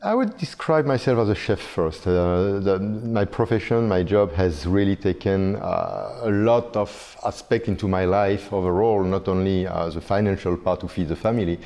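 A man's voice: deep voice, normal speed, few pauses, dark tone. A man in his fifties, originally from Belgium, a chef being interviewed, speaking hesitantly and often repeating words as he searches for phrasing. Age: 50s. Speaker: chef, guest. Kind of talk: conversational interview.

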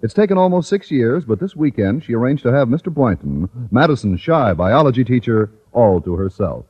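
A man announcing and pitching for a sponsor in 1950s radio style, emphatic and carefully enunciated. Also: big pitch swings, brisk, husky. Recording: muffled old-time radio recording.

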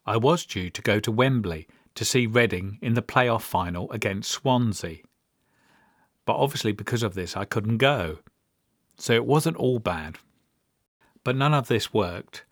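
A man's voice: fairly low pitch, measured pace, fairly bright tone, no room sound. A middle-aged British man telling personal stories as a podcast host, with a dry, wry, understated manner. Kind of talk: personal storytelling monologue.

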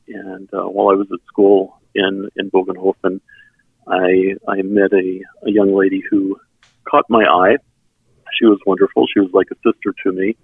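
A middle-aged man from South Texas whose first language is German, telling his personal story in an earnest, halting way as an interview guest. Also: deep voice, vocal fry, slightly rough, no room sound. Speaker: interview guest, member services volunteer. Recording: tinny phone-like sound, band-limited.

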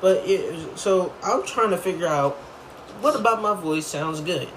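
A young man in casual chat, animated and jokey, often laughing. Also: sits high, unhurried, rough recording. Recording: faint background noise.

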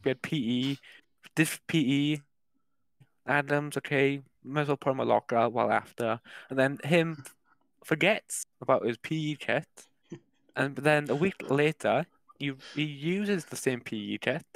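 Young British man, slightly high voice. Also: dry recording, rough recording. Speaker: podcast co-host. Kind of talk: casual chat and storytelling.

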